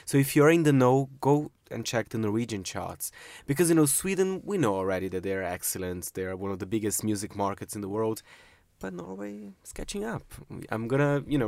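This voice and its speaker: A man's voice: mid-range voice, creaky, darkish tone, no room sound. Man, radio studio, chart countdown, chatty, laughs easily, dry close sound. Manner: chatty, laughs often, many fillers. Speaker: music researcher presenting a chart countdown. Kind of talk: casual radio panel chat.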